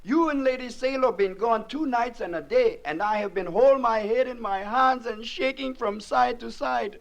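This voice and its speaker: Very high-voiced, fretful loyal sidekick. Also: male voice, moderate pace, vocal fry. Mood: fretful, relieved. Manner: florid, formal, dramatic phrasing. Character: excitable loyal island sidekick, florid and fretful. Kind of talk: old-time radio adventure acting.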